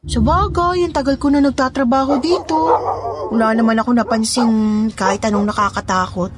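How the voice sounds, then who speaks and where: A woman's voice: a little high, fairly even intonation, fairly quick, music in the background, lightly acted. A woman playing a plucky, loyal Filipina housemaid in an audio story, chatty and confiding, with a Filipino accent. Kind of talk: audio story drama dialogue.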